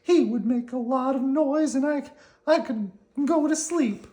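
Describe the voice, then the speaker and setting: A woman's voice: sits high, mellow, pitched above the performer's own voice. A woman voicing a small, eager male worm in a children's storybook, exclaiming and stretching out words, easily spooked. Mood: wistful. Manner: exclaiming, wide-eyed, drawn-out words.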